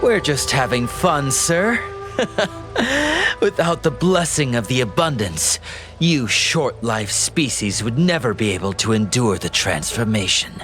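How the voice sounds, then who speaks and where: An actor playing a sly, gleefully unhinged cultist prisoner in a video game, speaking in a theatrical, reverent, giggling manner. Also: male voice, mid-range voice, sing-song, slow, fairly bright tone, soft background music. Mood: sly, taunting. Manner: theatrical, giggling, lingering reverently over words. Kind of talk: dramatic video game voice acting.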